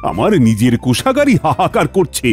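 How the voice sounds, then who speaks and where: Highish, animated, fretful cartoon king. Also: brisk, faint music behind. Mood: peevish. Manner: peevish, theatrical. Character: fretful, cowardly, self-pitying king in a folktale cartoon.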